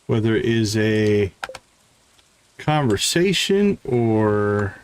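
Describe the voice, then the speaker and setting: Slow-paced man casually commentating a game. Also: on the low side, very animated, slight background noise. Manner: chatty, thinks out loud. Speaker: gaming streamer.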